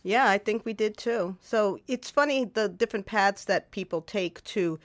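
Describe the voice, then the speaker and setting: A woman's voice: medium pitch, normal speed, dry recording. A woman from the Bronx hosting a radio show, reading a personal story expressively and chatting into a shared microphone. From New York, the Bronx. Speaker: radio host. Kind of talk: storytelling then casual interview. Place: radio studio. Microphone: shared microphone up close.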